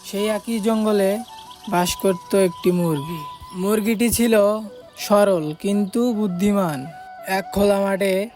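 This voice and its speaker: A woman's voice: mid-range voice, takes it slowly, dark tone. A woman storyteller reading scripted narration for a cartoon tale. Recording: music behind the voice.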